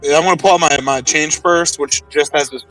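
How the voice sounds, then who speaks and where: A man's voice: fairly high pitch, steady tone, moderate pace, a bit bright, recorded from a distance, faint music behind, low-quality recording. A man playing a tabletop roleplay game, chatting casually and recapping as a player, recorded a little away from the mic.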